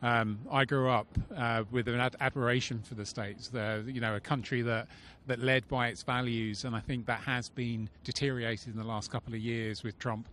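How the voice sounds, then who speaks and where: Measured man, outdoors. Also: medium pitch, steady tone, fairly bright tone, slight background noise. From London. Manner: measured, reflective. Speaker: passerby interviewee. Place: outdoors on a city street.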